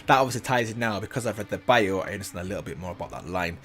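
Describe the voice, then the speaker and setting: A man's voice: fairly low pitch, expressive, very fast, a bit bright. A man hosting a reaction video, giving casual, enthusiastic commentary and often repeating himself. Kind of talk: casual commentary on a game character.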